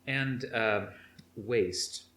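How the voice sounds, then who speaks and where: An anxious young male tech operative in an audiobook, acted by a man in a fairly low voice. He explains things plainly and a bit hesitantly, holding his composure. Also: drawn-out words, a bit bright, echoey room. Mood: irritated, explaining.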